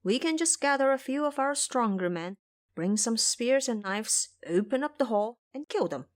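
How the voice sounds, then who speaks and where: A woman's voice: fairly high pitch, animated, normal speed, lightly acted. A woman voicing a sly, ruthless young male monk, confident and scheming, in an audio drama. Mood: scheming, eager.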